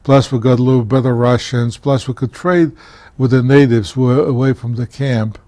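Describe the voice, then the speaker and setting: A man's voice: mid-range voice, fairly even intonation, mellow, no room sound. Older Polish-born man, dry sound. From Poland. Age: 70s. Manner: earnest, halting, measured recollection. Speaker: guest author, former county commissioner. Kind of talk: recounting his life story in a TV interview.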